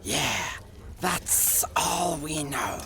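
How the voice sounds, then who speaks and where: A dim, menacing henchman with a creaky yet sharp-edged voice. The scaly yes-man drags each word very slowly, as in a hardboiled detective radio play. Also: medium pitch, lively intonation, full character voice. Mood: quiet menace. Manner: slow, echoing yes-man. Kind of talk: noir audio drama acting.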